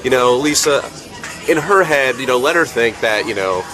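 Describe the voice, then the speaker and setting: A man's voice: medium pitch, expressive, moderate pace, rough recording. Radio staff guy rambling, chatty, music going behind him. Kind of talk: casual commentary.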